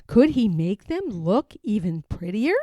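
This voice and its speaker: Slow expressive woman reading a storybook. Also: medium pitch, no room sound, clean studio sound. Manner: expressive, slow, sing-song. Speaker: children's book reader. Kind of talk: storybook read-aloud.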